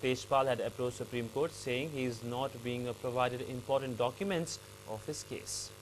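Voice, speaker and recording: A man with an Indian English accent reading the news as an anchor, in a halting, slightly stumbling delivery. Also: mid-range voice, a little hiss or hum, rough recording.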